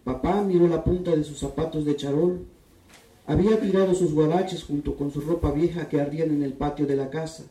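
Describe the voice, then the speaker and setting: Man with a dark voice, reading from far off. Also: fairly high pitch, a little fast. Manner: calm, steady reading voice. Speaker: writer reading his own text. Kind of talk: literary reading at a public event. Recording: echoey room, distant mic.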